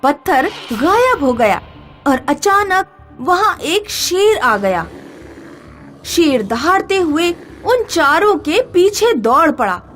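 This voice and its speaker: Female narrator for children's moral tales: high-pitched, very expressive melody, unhurried delivery. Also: a little hiss or hum. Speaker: storyteller. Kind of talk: scripted children's story narration with voiced dialogue.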